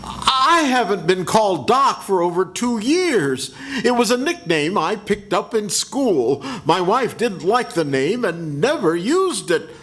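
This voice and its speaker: A man's voice: sits high, big pitch swings, measured pace, a bit bright, full character voice. An older man in a senior center radio drama reading, playing a nervous husband who stammers when questioned. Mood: flustered. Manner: flustered, stammering. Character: nervous husband suspect, man, middle-aged. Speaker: amateur radio actor.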